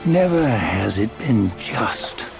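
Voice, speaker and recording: A man playing a stern, scornful celestial judge in a fantasy game, speaking in measured, archaic, moralizing phrases. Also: on the low side, very animated, vocal fry, music in the background, exaggerated voice. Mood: solemn, admonishing. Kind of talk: theatrical fantasy game dialogue.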